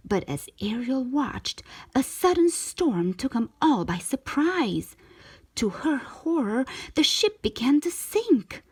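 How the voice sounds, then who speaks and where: A woman reading a children's storybook aloud in an expressive, measured storytelling manner. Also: medium pitch, husky. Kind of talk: scripted storybook narration, read aloud.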